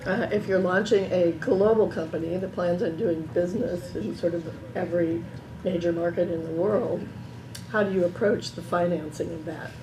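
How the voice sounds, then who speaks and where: A woman's voice: on the low side, steady tone, normal speed, music in the background. A woman in the audience asking a thoughtful, searching question at a roundtable. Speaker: audience member. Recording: echoey room, background noise.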